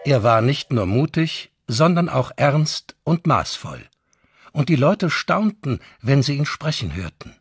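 A man reads historical chronicles in a dramatic, scripted style. He goes very slowly, and his mid-pitched voice moves up and down with lively expression. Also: breathy edge, dry recording. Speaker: reader of historical source texts.

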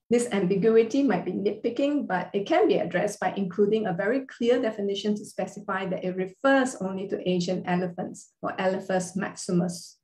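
Measured woman lecturing over a video call. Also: medium pitch, a little mellow. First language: not Thai. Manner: measured, careful legal explanation with fillers. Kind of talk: formal online lecture over slides. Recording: roomy echo on a video call.

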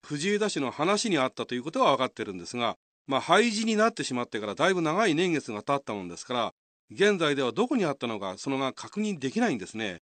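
A man's voice: mid-range voice, big pitch swings, fast talker, a bit bright, dry recording. A man hosting, explaining a folk tale in a polite, conversational way. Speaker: host.

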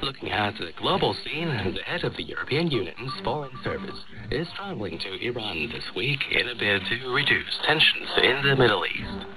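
A man's voice: mid-range voice, big pitch swings, moderate pace, over music, poor audio. A man reading scripted news as a radio presenter on a shortwave broadcast. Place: radio studio, heard over shortwave.

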